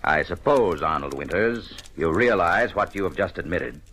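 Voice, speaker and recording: An actor playing a shrewd, relentless police inspector, questioning deliberately and pointedly in 1940s radio detective style. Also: male voice, low-pitched, lively intonation, a bit bright, breathy edge, exaggerated voice. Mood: accusing.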